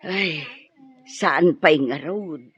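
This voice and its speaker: Slow, breathy, sorrowful Filipino mother. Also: sits low, a bit bright, full character voice. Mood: resigned. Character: worried, sorrowful, pious Filipino mother of a wayward son. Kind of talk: Ilocano radio drama acting. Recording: muffled, roomy radio drama audio.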